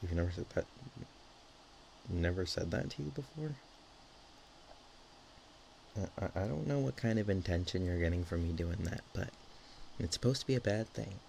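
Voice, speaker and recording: A man's voice: sits low, moderate pace, creaky, long pauses, a little mellow, low-quality recording. A man voicing a bashful, affectionate dragon girl in an intimate ASMR roleplay, stammering and hesitant. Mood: teasing, threatening. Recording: faint background noise.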